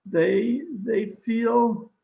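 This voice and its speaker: An actor playing a formal, hesitant music master in an old-time radio drama, halting and apologetic. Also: male voice, extremely high pitch, vocal fry, mellow, exaggerated voice, low-quality recording. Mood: uneasy. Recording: faint hiss on home recording.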